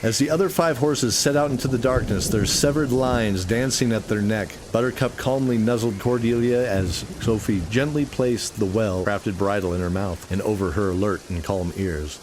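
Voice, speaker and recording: A man's voice: on the low side, moderate pace, few pauses, noisy background. A man narrating a scripted fantasy radio play in an earnest, dramatic storytelling style.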